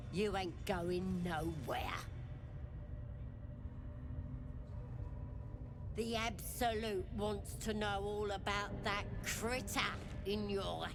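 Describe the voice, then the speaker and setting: An actress playing a crude, menacing, possessive goblin priestess in a video game, with slangy, taunting speech. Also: female voice, mid-range voice. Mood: taunting, threatening.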